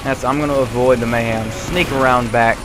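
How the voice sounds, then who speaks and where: Rambling, slightly hoarse young man gaming. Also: mid-range voice, steady tone, fairly quick. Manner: rambling, self-correcting, chatty. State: getting hoarse. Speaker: gaming video host. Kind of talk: casual gameplay commentary. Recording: loud game music behind. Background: game sounds and gunfire.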